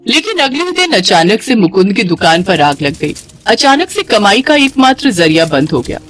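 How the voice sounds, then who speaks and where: Animated female cartoon-story narrator. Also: medium pitch, moderate pace, soft background music. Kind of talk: scripted dramatic narration for a cartoon moral story.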